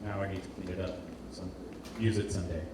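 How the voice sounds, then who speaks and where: A man's voice: low voice, fairly even intonation, fast. A man from Portugal giving an informal presentation as a designer, speaking hesitantly and repeating words as he searches for phrasing. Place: indoor event space with heavy echo. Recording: roomy, distant, noisy live hall recording.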